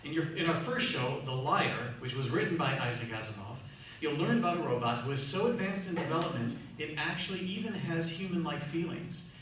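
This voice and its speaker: A man's voice: on the high side, expressive, fairly quick, fairly bright tone. Showmanlike man hosting in a very echoey room. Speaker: host of a live radio theatre troupe. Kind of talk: prepared stage introduction to audience. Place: large echoey room, live performance. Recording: very echoey room, distant mic, hiss.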